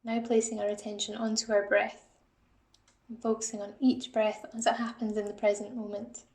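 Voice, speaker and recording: Female meditation guide, calm and instructional, gravelly fry at phrase level, roomy echoing recording. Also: medium pitch, normal speed. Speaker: assistant psychologist guiding a meditation. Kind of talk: guided mindfulness body scan.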